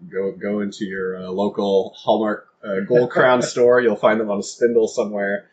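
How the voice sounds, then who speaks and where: A man's voice: fairly low pitch, little pitch movement, normal speed. A man chatting casually as an interview guest, talking in a rambling, self-deprecating way. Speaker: guest playwright and author. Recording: dull lo-fi recording with faint noise.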